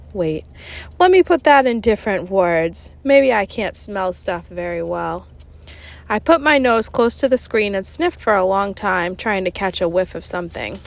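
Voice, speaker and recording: Female children's story reader, mid-pitched, conversational, very animated delivery. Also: creaky. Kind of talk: expressive children's audiobook narration.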